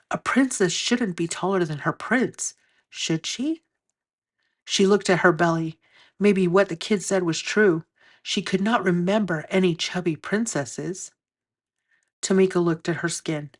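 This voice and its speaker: A woman with a slightly low voice reads a picture book aloud for children. Her narration is expressive, with deliberate phrasing and key words drawn out for emphasis.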